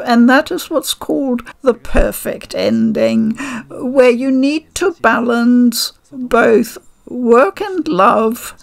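An older woman reviewing a game from her living room at a desk mic, measured and articulate with a dry, wry humor. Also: fairly high pitch, vocal fry. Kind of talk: unboxing and review talk. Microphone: desk microphone.

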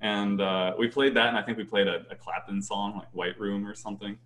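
A man's voice: lowish voice, little pitch movement, a little fast, a bit bright. A young man with a light voice, a choir singer talking casually and animatedly on a video call from a small room, often restarting his sentences and laughing. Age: young adult. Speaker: choir bass singer, guest. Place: small bright room at home.

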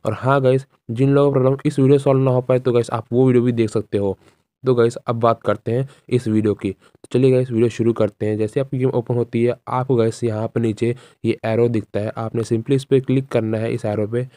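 A man's voice: medium pitch, little pitch movement, a little fast, warm tone, clear, resonant tone, no room sound. A young man narrating a casual step-by-step phone tutorial, repeating instructions as he addresses viewers directly. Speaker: tutorial host.

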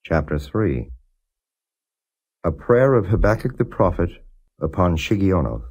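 A man giving a non-dramatized scripture reading as a narrator, in a measured, solemn and formal way. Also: low voice, big pitch swings, a little mellow, slightly rough, low-quality recording. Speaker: Bible reader, audiobook narrator.

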